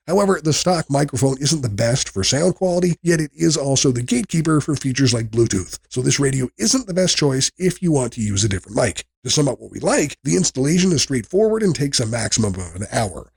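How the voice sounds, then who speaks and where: Gravelly, husky man reading a review. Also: mid-range voice, a little mellow. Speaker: product review narrator. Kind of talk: scripted review narration.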